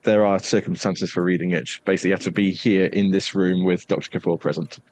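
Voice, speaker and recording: A man narrating a tabletop horror game as game master, deliberate and pausing for suspense. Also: low-pitched, level tone, darkish tone.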